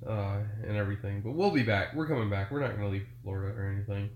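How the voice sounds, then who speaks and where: A man's voice: lowish voice, fast talker, dull audio. A man co-hosting a casual podcast, congested from a cold and talking in an easygoing, joking, rambling way. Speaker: podcast co-host. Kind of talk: casual chat. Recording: echoey room with faint noise.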